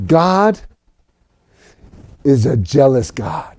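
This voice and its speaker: A man from Mexico preaching a sermon for an online service, animated and rising to shouts at his peaks. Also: mid-range voice, very slow. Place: home recording for a virtual service.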